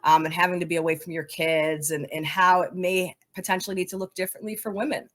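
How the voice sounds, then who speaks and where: Lower-voiced woman giving interview answers. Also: steady tone, fast talker, fairly bright tone. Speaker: podcast guest, soccer coaches' advocate.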